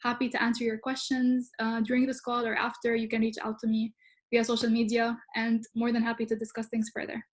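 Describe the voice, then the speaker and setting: A young woman from Lebanon speaks in a fairly high, flat tone over a video call. She sounds friendly and relaxed. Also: brisk. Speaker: research associate. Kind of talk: self-introduction on a video call.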